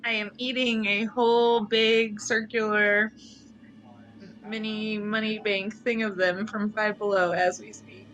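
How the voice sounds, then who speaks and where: A woman's voice: medium pitch, flat delivery, unhurried, a bit bright, muffled recording. A woman chatting casually and playfully as a player on a tabletop game show. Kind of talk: casual chat.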